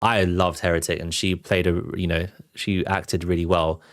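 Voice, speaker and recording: A man's voice: low-pitched, flat delivery, normal speed, no echo, studio quality. A man hosting a film show, giving casual, off-the-cuff commentary on trailers. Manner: informal, off-the-cuff.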